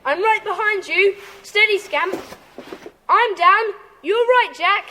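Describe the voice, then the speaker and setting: Very high-voiced bossy schoolboy. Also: female voice, full character voice. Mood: brisk. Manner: brisk, commanding, hushed excitement. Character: bold, cautious schoolboy gang leader. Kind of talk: children's audiobook drama acting. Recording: echoing hall, faint noise.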